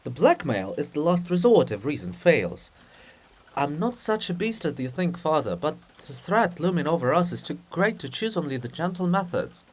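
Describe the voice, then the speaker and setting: A man's voice: on the high side, sing-song, normal speed, breathy edge. A man playing a resolute, scheming scholar's statue with emphatic, rhetorical delivery in a drama-farce reading. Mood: persuasive.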